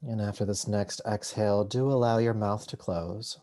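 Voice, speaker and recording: A man leading a guided meditation as a yoga nidra teacher, soothing and unhurried, leaving long pauses between short phrases. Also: on the low side, fairly even intonation, dark tone.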